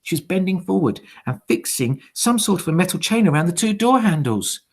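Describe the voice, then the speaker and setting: Animated man reading a children's story theatrically. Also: high-pitched, brisk, husky. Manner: theatrical, dropping to hushed suspense. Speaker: teacher reading a story aloud. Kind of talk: dramatic children's storybook narration.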